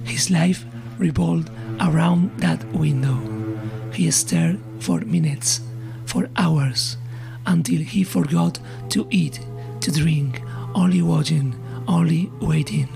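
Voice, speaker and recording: Guy narrating a scary story real slow, gravelly, music under it. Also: a little high. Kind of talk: scripted horror narration.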